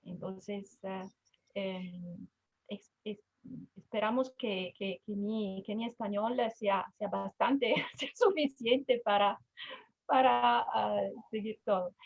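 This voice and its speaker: Slow hesitant Italian woman. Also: medium pitch, fairly even intonation, vocal fry. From Italy. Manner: hesitant, searching for words. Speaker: teacher. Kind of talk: casual self-introduction on a video call. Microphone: video call. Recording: dull lo-fi call audio.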